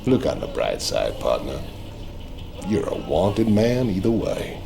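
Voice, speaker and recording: A hulking mercenary with a rumbling, gravelly growl that sits right at the bottom of the range. He drawls slowly and taunts, and his pitch still swings widely. Orchestral music plays behind him. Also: big pitch swings, exaggerated voice. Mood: taunting. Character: brash hulking male mercenary convict, mocking. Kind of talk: video game cutscene acting.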